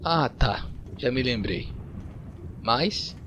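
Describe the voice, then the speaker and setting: A man's voice: mid-range voice, expressive, very slow, a bit bright. A man with a Brazilian accent gives casual, playful Let's Play commentary, joking and talking to himself as host of a gaming video. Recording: clear game noise behind voice. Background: video game ambience and mechanical sounds.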